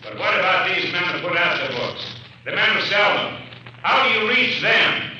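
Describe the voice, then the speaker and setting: A man's voice: high-pitched, big pitch swings, normal speed, pushed and bright, dull audio, full character voice. A man acting an indignant, self-important club director, insistent and pompous, with an American accent in 1950s radio drama style. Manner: insistent, pompous, repeats himself. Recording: echoey hall, far from mic, faint noise.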